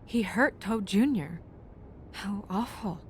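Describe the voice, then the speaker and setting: A woman playing a polite, composed young official in a video game, courteous, tactful and measured. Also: mid-range voice, expressive, faint music behind. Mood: sympathetic, tactful. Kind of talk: dramatic video game dialogue.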